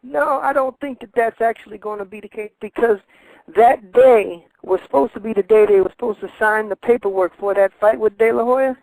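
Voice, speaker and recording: A man's voice: high voice, fairly quick, vocal fry, a little mellow, no room sound, poor audio. A man in his fifties calling in to a radio show, rambling in a meandering way with nonstandard grammar. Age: 50s. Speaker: caller. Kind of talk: rambling radio call-in chat.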